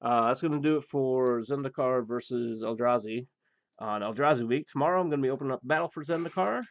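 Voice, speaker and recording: A man hosting a pack-opening show, rambling through the cards with many fillers and blunt remarks, his voice going hoarse. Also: medium pitch, fast, dry recording. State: losing his voice. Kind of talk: casual card-opening commentary. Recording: dull, dry recording.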